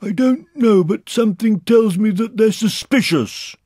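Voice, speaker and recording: Guy doing a storybook toy bear, high husky voice, solemn and a bit anxious, very earnest schemer. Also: very animated, measured pace, no room sound, clean studio sound, full character voice. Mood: secretive, calling out. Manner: ponderous, solemn, calling out loudly. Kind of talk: children's storybook character reading.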